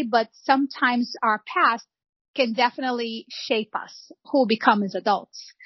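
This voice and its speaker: A woman's voice: on the high side, no echo. Woman, middle-aged, reflective and earnest, kinda dull lo-fi sound, dry. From Brazil. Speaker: guest, real estate founder. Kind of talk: podcast interview.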